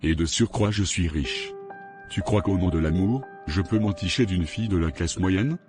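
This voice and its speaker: An actor plays a haughty, fussy rich man in an animated drama. His voice is very low and his delivery pompous and boastful. Music plays clearly behind him. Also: normal speed, close to an everyday voice. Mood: smug.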